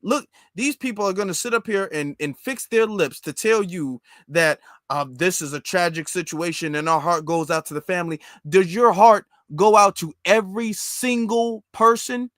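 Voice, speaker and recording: A man's voice: sits high, moderate pace, few pauses, a bit bright, dry recording, clean studio sound. An American man giving impassioned political commentary as a host, emphatic and repetitive, with rhetorical questions.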